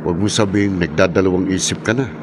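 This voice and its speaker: A man's voice: low voice, quick pace, natural voice. Man acting a streetwise pal over background noise. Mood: prodding, puzzled. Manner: colloquial, questioning. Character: nosy, streetwise male pal of a gambler. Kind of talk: horror radio drama acting. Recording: clear vehicle-like background noise.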